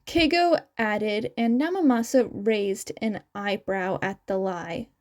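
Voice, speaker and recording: A woman's voice: mid-range voice, slow pace. A woman reading fan fiction aloud as a scripted story narration. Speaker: narrator, podfic reader.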